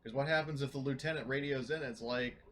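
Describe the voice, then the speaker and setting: A man with a mid-pitched voice talks casually as a tabletop game player. He is blunt and plain-spoken, with some background noise on his recording. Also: fast.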